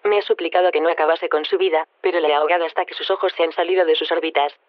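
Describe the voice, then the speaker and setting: Actor as a brisk, cold, condescending artificial mind. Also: medium pitch, fairly even intonation, dull audio, natural voice. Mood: chillingly calm. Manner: detached, precise, condescending. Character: Amaya, cold menacing artificial mind who looks down on humans. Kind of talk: audio drama acting as a radio interview guest.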